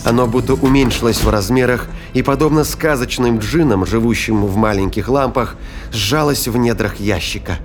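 A man's voice: mid-range voice, moderate pace. A man giving scripted, dramatic narration of a science fiction story as its narrator. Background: music.

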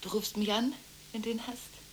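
A woman's voice: little pitch movement, moderate pace, a little hiss or hum, close to an everyday voice, poor audio. An actress playing a volatile, contradictory woman arguing through one side of frantic telephone calls in a radio drama. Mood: hushed, confiding. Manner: abrupt swings between hushed confiding and shrill scolding.

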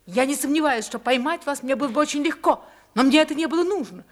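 A high-pitched, haughty society wife acted by a woman in a radio play. She is proud and wounded, speaking with defiant intensity. Every phrase is delivered dramatically. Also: fast talker, natural voice. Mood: cold, bitter. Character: proud, wronged wealthy wife, defiant and emotional.

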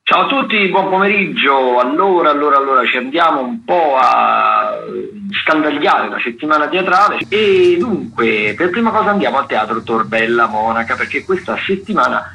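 A man's voice: medium pitch, expressive, normal speed, creaky, bright, forceful tone, rough recording. A man giving conversational radio listings, hesitating and repeating words as he goes. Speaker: theatre critic, radio contributor.

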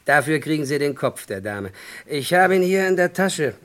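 An actor playing a calculating, menacing blackmailer who bargains insistently and with cool threat in a radio crime play. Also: male voice, on the high side, sing-song, normal speed, fairly bright tone. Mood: sly, calculating. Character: calculating, menacing male blackmailer selling stolen art.

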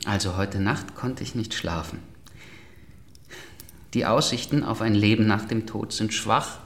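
A man's voice: on the low side, a little slow. An actor playing a sardonic, sickly middle-aged nobleman in a radio play, agitated and theatrically mocking. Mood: bitter, agitated. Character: bitter, sardonic, ailing aristocrat in his forties or older. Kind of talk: German literary radio play acting.

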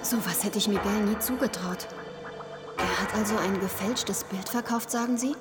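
An actress playing an aggrieved, evasive widow in a radio drama. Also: female voice, mid-range voice, moderate pace, loud music behind the voice, natural voice. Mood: disbelieving. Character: aggrieved, evasive wife of a murdered man.

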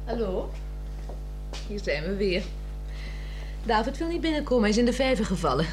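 A woman plays a breezy, provocative wife in a 1960s radio play, with a Netherlands Dutch accent. Her medium-pitched voice rises and falls freely as she briskly questions and directs people. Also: very animated, faint music behind, natural voice, rough recording. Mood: breezy, inquisitive. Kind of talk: 1960s radio drama acting.

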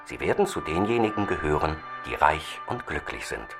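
A man's voice: rumbling low, very animated, normal speed, vocal fry. A man narrating a scripted motivational audiobook. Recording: distant voice with music behind. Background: music.

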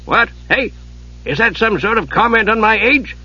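A man's voice: sits high, animated, normal speed, bright, forceful tone, slightly rough, muffled recording, pitched above the performer's own voice, heavily acted. A man voicing a cranky, absent-minded old wizard who mutters and gets easily flustered in a fantasy audiobook. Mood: indignant.

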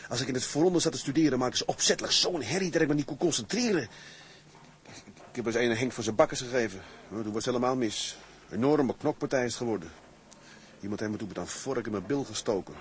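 A man's voice: mid-range voice, quick pace, slight background noise, lightly acted. A man playing an anxious young sailor in a Dutch radio drama, speaking hesitantly and repeating himself. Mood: worried. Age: young adult. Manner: hesitant, stammering repetitions. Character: anxious young sailor studying for officer's exam.

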